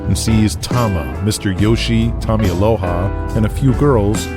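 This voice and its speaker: A man's voice: lowish voice, normal speed, loud music behind the voice, close to an everyday voice. A man narrating a gritty, crude wrestling audio drama in a scripted storytelling style.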